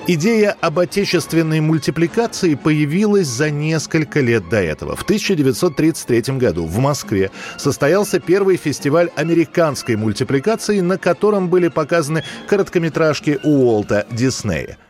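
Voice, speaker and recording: A man's voice: on the high side, lively intonation, moderate pace, hardly any pauses, a little mellow, soft background music. A man hosting a radio programme, reading scripted documentary narration.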